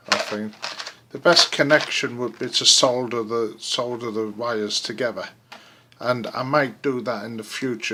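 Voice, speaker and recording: A man casually narrating a hands-on project as he works, halting and thinking aloud, recorded indoors on a handheld camera. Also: mid-range voice, noisy background. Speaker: DIY video host. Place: room indoors. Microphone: handheld camera mic.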